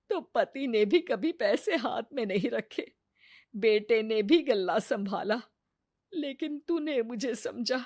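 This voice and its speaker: A woman playing an older, bickering, gruffly affectionate mother-in-law in an animated moral story, scolding and sarcastic. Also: fairly high pitch.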